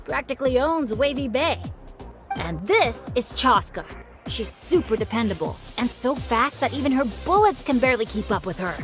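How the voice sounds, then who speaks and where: A woman's voice: a little high, lively intonation, normal speed, over music, natural voice. A woman playing an earnest, upbeat teenage boy adventurer in an anime game, eager and sincere. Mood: proud, excited.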